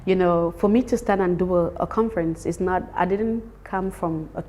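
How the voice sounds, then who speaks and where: A woman with a Cameroonian accent answers interview questions as an organiser. Her voice sits a little low and has a dark, relaxed tone. She speaks with emphasis, repeating phrases to drive them home. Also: moderate pace, a little hiss or hum. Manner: emphatic, repeats words for stress. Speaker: summit organiser being interviewed. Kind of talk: promotional interview talk.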